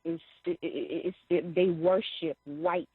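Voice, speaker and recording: A woman's voice: lowish voice, steady tone, breathy edge, no room sound. A young adult woman from Liberia calls in and talks with feeling, stumbling over her words and circling back. She comes through a thin, tinny phone line with a dry, close sound. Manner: impassioned, halting with many fillers. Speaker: caller. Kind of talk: phone-in call to a talk show.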